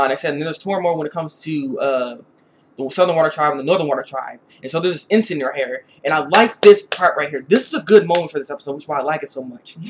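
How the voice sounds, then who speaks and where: A man giving a casual, rambling episode review in an animated, conversational way, often repeating his phrases. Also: a little high, a little hiss or hum. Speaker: YouTube reviewer.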